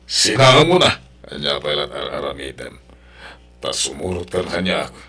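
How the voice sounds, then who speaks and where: An Ilocano man acting a boastful, insistent suitor tempting a married woman in an audio drama, with a raspy, gravelly voice. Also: rumbling low, sing-song, normal speed, pushed and bright, full character voice. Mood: persuasive, scornful.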